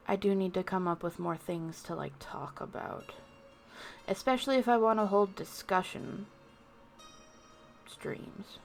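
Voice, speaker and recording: A woman livestreamer chatting casually to viewers in a rambling, self-deprecating way, with music behind her. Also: medium pitch. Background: background music.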